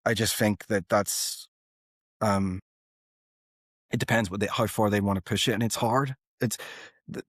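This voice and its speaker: A man in his thirties with a fairly low voice talks casually and earnestly in an interview. He rambles a little, breaking off phrases and picking them up again. The sound is dry and close. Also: no echo. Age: 30s. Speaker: guest, rock band singer and guitarist.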